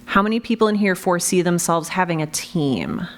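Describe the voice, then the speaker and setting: An animated woman who makes podcasts, chatting casually to a live panel audience, self-deprecating and quick to laugh. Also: lowish voice, a little hiss or hum. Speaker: podcast creator and panel moderator.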